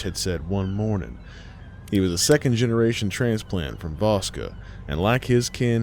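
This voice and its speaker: A man narrating a scary story in a folksy, colloquial way, theatrical and full of dramatic pauses. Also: lowish voice, a little mellow, a little hiss or hum.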